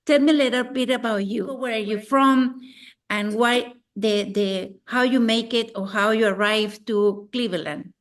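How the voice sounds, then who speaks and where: Female interview host, fairly high voice, warm-up questions with restarts. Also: steady tone, slow pace. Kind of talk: bilingual interview hosting.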